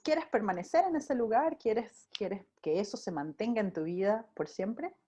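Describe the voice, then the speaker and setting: A woman hosting a podcast interview, asking questions and giving short soft acknowledgements. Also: mid-range voice, animated, moderate pace, husky. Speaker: podcast host. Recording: dull lo-fi sound.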